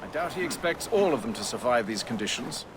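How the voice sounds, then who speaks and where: An actor playing a cultured, coolly stern Grand Master in a video game, with formal, archaic diction. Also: male voice, mid-range voice, big pitch swings, brisk, noisy background, natural voice. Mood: stern, dry. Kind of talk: cinematic video game acting.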